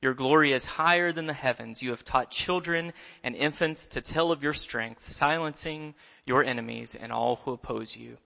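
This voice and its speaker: A man in his late twenties preaching a sermon in a church, talking in a relaxed, conversational way with touches of self-deprecating humor. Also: mid-range voice, normal speed, gravelly, hardly any pauses. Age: 20s. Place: church sanctuary. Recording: long church reverb.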